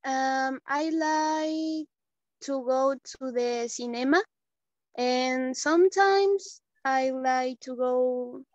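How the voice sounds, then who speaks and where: High-voiced woman, slow and hesitant. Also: dry recording, lo-fi sound. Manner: hesitant, searching for words. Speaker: interviewee. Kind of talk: English speaking practice.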